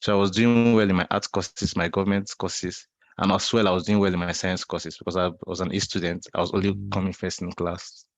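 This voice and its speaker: A man's voice: sits low, flat delivery, fairly quick, no echo. A young Nigerian man in his twenties giving an online talk, telling a personal story in a conversational way, often repeating and restarting his phrases. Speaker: guest speaker. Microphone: video call.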